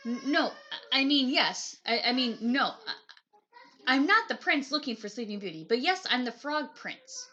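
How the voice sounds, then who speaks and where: A woman's voice: high voice, lively intonation, moderate pace, bright, forceful tone, lo-fi sound, a little hiss or hum. A woman voicing an eager, flustered and polite young frog prince in a picture book read-aloud. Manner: pleading, stammering politeness.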